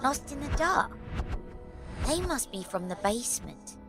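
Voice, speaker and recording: A woman's voice: high voice, very animated, a little slow, full character voice. A woman playing a stubborn, frightened young girl with an indignant, emotional delivery in an audio drama. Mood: anxious, puzzled. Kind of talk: dramatic audio fiction acting. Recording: loud music under the voice.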